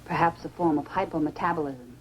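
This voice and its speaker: A woman's voice: low-pitched, fast, slight background noise, lightly acted. A woman acting an earnest medical scientist in a 1950s science fiction film. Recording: dull old film soundtrack with music.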